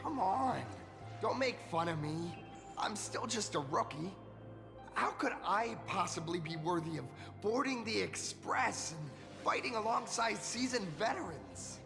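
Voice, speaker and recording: High, creaky, hesitant young rookie hero. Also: male voice, animated, a little slow, heavily acted. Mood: earnest, modest. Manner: earnest, hesitant, humble. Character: earnest, modest, self-doubting young rookie hero, reformed delinquent. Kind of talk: animated game voice acting. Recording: long reverb, clear music behind.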